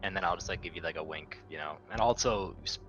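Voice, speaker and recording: A man with a fairly low but bright voice plays a ship captain in a tabletop game. His talk is casual banter, with faint music underneath. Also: fast talker, poor audio.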